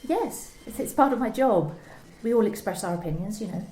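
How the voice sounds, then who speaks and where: Hesitant young office worker voiced by an actress, mellow slightly high voice, roomy recording with faint hiss. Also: female voice, animated, lightly acted. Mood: guarded. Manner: hesitant, defensive, halting. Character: guarded young female sales employee. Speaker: actor in an amateur radio play.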